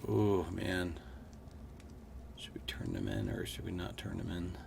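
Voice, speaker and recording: A man's voice: low voice, big pitch swings, unhurried, creaky. A man reacting casually to a game as he plays, on a very noisy recording. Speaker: gaming channel player.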